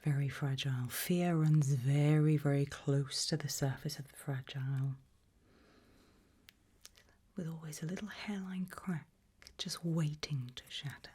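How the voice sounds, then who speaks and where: A woman whispering an ASMR role-play straight to the listener in a breathy, measured, quietly ominous way. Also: sits low. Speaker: ASMR host playing a fear-test examiner.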